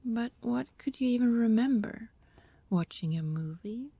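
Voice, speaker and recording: A woman plays an anxious, secretive vampire neighbor in an intimate ASMR roleplay. Her voice is mellow and unhurried, with a breathy, husky edge. She coaxes and rambles haltingly, sliding into whispers as if confiding in the listener. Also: a little high, animated, no echo, full character voice. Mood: nervous reasoning.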